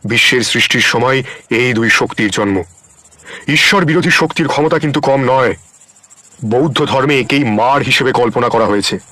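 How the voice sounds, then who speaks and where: An actor playing a kindly, wise wandering ascetic in an audio story drama, speaking in a gentle, earnest, philosophical manner. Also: male voice, mid-range voice, no room sound. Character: wandering holy man, kindly, wise, plain-spoken ascetic.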